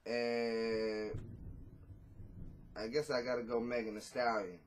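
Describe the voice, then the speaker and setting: A man's voice: medium pitch, level tone, slow. Man in his thirties, rapper, blunt and profane, laid-back, webcam audio from a small room. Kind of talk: casual interview on a video call. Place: small room at home, indoors.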